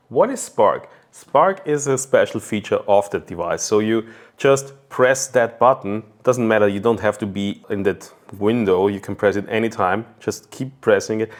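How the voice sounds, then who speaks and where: A man giving a casual hands-on product review, talking conversationally and thinking aloud as he goes. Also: fairly low pitch.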